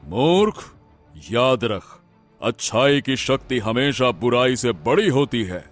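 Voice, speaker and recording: A man playing a wise, solemn elderly holy man in a cartoon story, speaking in a grave, measured, moralizing way. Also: mid-range voice, animated, faint music behind, pitched below the performer's own voice. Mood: stern, solemn.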